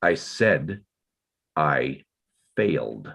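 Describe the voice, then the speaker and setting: A man's voice: rumbling low, sing-song, very slow, vocal fry, a bit bright, husky, full character voice. A man playing a defensive, guilt-ridden father in a dramatic script read, terse and insistent. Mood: stubborn.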